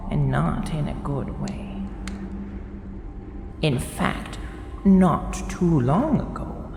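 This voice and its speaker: A woman playing a kindly elderly grandmother in an audio drama, telling stories in a gentle, unhurried way. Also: sits low, creaky, exaggerated voice. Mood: hushed storytelling. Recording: echoey reverberant room with noise.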